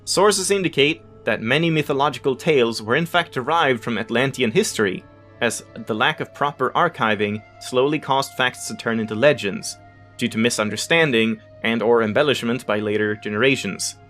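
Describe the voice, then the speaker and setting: A man's voice: medium pitch, moderate pace, a bit bright. A man hosting a let's play, reading in-game text aloud with casual asides and tripping over unfamiliar words now and then. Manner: stumbles over unfamiliar words, self-correcting. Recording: music behind the voice. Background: game music.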